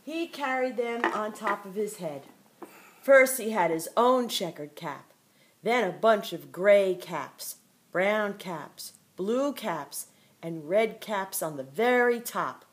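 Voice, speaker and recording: Older woman, grandmother reading aloud, slow and lively, expressive storytime voice. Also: medium pitch. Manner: expressive, playful reading with asides to a child.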